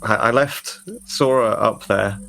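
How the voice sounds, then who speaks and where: A man's voice: on the low side, unhurried, creaky, fairly bright tone, faint music behind, low-quality recording. A man playing an anxious, guilty, awkward boy in a tabletop game, stammering and repeating his words. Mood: worried.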